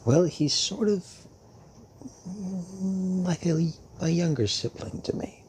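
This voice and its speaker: Guy doing a old centuries-old vampire dad type for audio roleplay, really slow n halting, gentle, bit of storm noise behind. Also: high voice, rough recording. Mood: musing, fond. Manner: halting, musing, with hums and long pauses. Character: centuries-old male vampire creator, fatherly, gentle, wistful. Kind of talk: intimate audio roleplay addressed to the listener.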